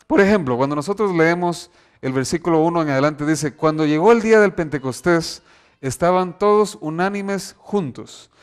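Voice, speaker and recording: Man, preacher, emphatic sermon delivery, somewhat high voice. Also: normal speed, smooth. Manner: emphatic, reads scripture aloud with rising stress.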